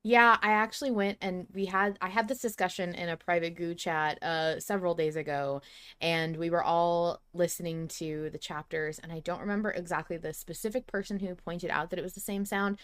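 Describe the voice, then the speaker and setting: Low-pitched woman hosting a casual podcast. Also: steady tone, moderate pace, a bit bright, clean tone, dry recording. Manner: effusive, swears casually. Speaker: podcast host. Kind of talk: casual fan podcast chat.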